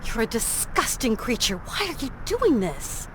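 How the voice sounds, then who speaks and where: Lively, plucky game heroine. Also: female voice, on the high side, unhurried, a little hiss or hum, poor audio. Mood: indignant. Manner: natural, reassuring, direct. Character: plucky, level-headed adventuring heroine, woman, calm and determined. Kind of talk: video game voice acting.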